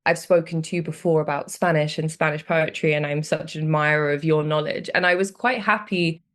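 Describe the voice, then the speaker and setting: A woman's voice: sits low, fairly even intonation, fairly quick, a bit bright. Reflective young British woman. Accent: British English. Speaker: guest. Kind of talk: conversational podcast chat.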